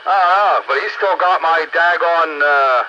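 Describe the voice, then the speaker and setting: Muffled radio audio with clear static carries a middle-aged or older man's mid-pitched voice. He talks casually, like a hobbyist swapping stories on the air. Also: moderate pace. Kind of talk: casual chat over two-way radio. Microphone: radio transceiver microphone.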